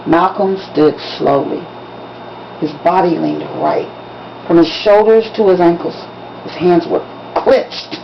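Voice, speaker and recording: A woman, an author reading from a novel in an expressive, dramatic audiobook style with emphatic storytelling. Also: fairly low pitch, slow, creaky. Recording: dull lo-fi recording with background noise.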